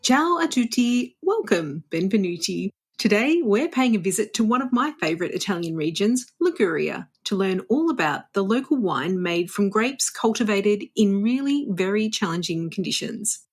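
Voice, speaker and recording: A woman's voice: medium pitch, sing-song, moderate pace, hardly any pauses, husky. A woman hosting a travel podcast, upbeat and chatty, sprinkling in Italian greetings. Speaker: podcast host. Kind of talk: casual interview chat.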